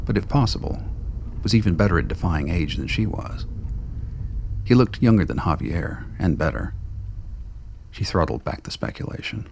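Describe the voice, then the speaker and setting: A man's voice: sits low, gravelly, slight background noise. A man reading scripted narration for a radio drama as its narrator.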